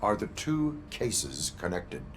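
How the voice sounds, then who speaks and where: Very deep, deadpan robot cop. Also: male voice, slow pace, slight background noise, exaggerated voice. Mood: flat, dutiful. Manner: formal, clipped, deadpan robotic delivery. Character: stiff, dutiful cyborg police officer, part man part machine.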